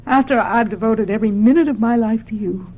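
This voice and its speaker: A woman acting in a radio drama as a bitter, pushy, ailing middle-aged mother with an American accent and a husky, nagging delivery. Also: on the high side, fairly quick, lo-fi sound, lightly acted. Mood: bitter, driven. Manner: domineering, nagging.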